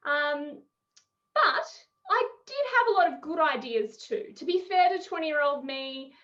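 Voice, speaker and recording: Aussie woman around 30 doing standup on zoom, high voice, wry, muffled noisy headset sound. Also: measured pace. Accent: Australian. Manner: expressive, wry, chuckling between phrases. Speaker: stand-up comedian. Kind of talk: stand-up comedy set over video call. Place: small room at home. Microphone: headset on a video call. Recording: muffled, noisy video-call audio with clicks.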